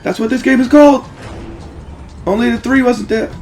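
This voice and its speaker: A man giving casual, rambling, profanity-laced gaming commentary with excitable fan talk. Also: extremely high pitch, moderate pace, faint music behind. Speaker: let's play host.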